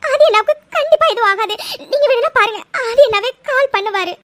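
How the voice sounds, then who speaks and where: A woman's voice: extremely high pitch, fast talker, very noisy. A woman voicing a young sister, pleading and reassuring, in a melodramatic audio serial. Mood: consoling. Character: young sister comforting her crying family.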